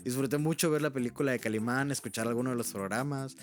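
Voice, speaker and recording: A man's voice: mid-range voice, quick pace. A man reading an informal documentary voice-over, candid and open about what is uncertain. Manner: candid, openly unsure of facts. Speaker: video essay creator and narrator. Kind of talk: informal scripted documentary narration.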